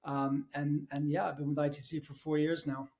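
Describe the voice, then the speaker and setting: A man in his forties with a British accent, a conference organizer telling his story in a relaxed, chatty way on a podcast. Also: a little high, normal speed. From England. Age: 40s. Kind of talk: podcast interview.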